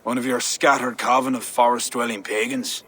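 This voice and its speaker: A man's voice: mid-range voice, steady tone, moderate pace, fairly bright tone, a little hiss or hum, natural voice. A man playing a stern, suspicious king in a video game, imperious and dramatic in his delivery. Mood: suspicious, brooding.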